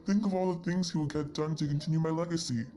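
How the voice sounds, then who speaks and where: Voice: medium pitch, steady tone, fast talker, warm tone, lightly acted. A performer playing a confident, commanding outgoing president in an amateur history puppet show. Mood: insistent.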